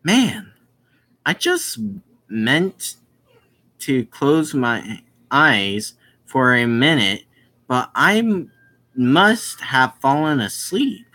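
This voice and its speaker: A gamer reading a visual novel aloud with casual commentary, halting and often explaining who is speaking. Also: mid-range voice, big pitch swings, sharp tone. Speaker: gaming video host.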